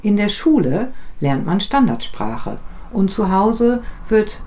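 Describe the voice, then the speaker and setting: A woman from northern Germany co-hosting a language podcast, telling stories from home calmly with small restarts. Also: medium pitch, slow. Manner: calm, reflective storytelling with small restarts. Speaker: podcast co-host, business German teacher. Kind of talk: conversational podcast chat.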